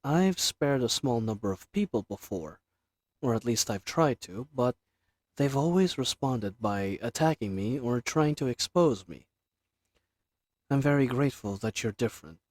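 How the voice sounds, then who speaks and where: A man playing a formal, reserved assassin who is earnestly flirtatious, in a romantic audio roleplay with measured, polite speech. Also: medium pitch, lively intonation, darkish tone, dry recording. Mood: flattered, sincere. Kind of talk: scripted romantic audio roleplay addressed to the listener.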